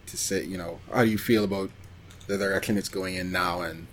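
A man co-hosting a podcast in casual chat, rambling and pausing as he recalls details. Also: sits low, fairly even intonation, a little hiss or hum. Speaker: podcast co-host. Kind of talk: casual chat about anime.

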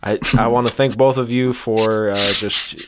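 A man co-hosting a comedy podcast, bantering in a halting way and repeating his words. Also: fairly low pitch, fairly even intonation, background noise. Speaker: podcast co-host.

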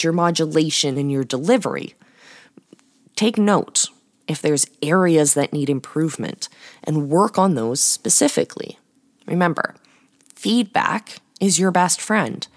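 Woman podcast host, highly expressive low-pitched voice. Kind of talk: instructional podcast monologue with tips.

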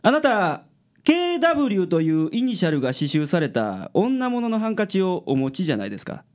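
A woman's voice: on the low side, big pitch swings, brisk, darkish tone. A woman playing a cool, dry-witted male private detective with a Kansai accent in a radio drama. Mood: polite, probing.

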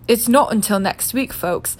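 A woman's voice: fairly high pitch, measured pace. A woman voicing a chatty, joking male television weatherman in a storybook reading. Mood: jovial. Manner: jovial, loud.

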